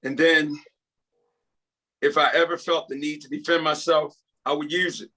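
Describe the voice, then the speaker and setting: A man reading the part of a repentant, humble prison inmate, earnest and deferential, in a halting script table read. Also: on the high side, steady tone, bright, forceful tone. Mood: reflective, remorseful. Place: video call.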